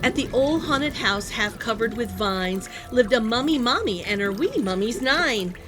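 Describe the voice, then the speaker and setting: A woman reading a children's picture book aloud in an animated, sing-song storytelling manner. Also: a little high, measured pace. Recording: music playing behind the voice. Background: music.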